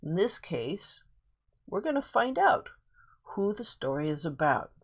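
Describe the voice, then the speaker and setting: Slow-paced woman teacher, lowish voice. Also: big pitch swings, breathy edge. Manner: simple explanations with much repetition. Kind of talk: guided reading lesson for children.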